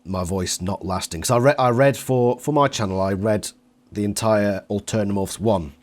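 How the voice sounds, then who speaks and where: A man hosting a casual interview, chatty and quick to interject. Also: fairly low pitch. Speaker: interviewer and channel host.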